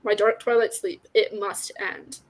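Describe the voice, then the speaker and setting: An ancient god of the wild, tender and forlorn as a woman reads him from a novel. He speaks solemnly and gently, taking his time like someone fading away. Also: medium pitch, fairly even intonation, unhurried, rough recording. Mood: weary, gentle.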